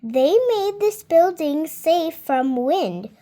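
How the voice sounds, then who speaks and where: High-voiced seven-year-old girl reading aloud. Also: lively intonation, slow, mellow. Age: child, 7 years old. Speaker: child reader. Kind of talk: read-aloud of a children's nonfiction book with spontaneous comments.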